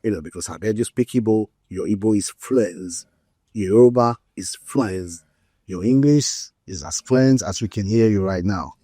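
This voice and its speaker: A man's voice: fairly low pitch, normal speed, warm tone, dry recording, studio quality. A male host interviewing an actor, asking follow-up questions and talking directly to viewers.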